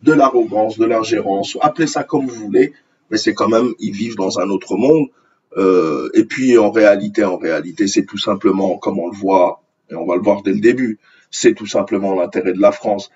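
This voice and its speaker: A man from Algeria whose mother tongue is Arabic delivers opinionated commentary on the news. His voice is rough and husky, sitting a little low. He sounds indignant and hammers phrases by repeating them, on a slightly muffled, lo-fi recording. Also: normal speed, darkish tone. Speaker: online commentator. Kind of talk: opinionated commentary on news articles read aloud.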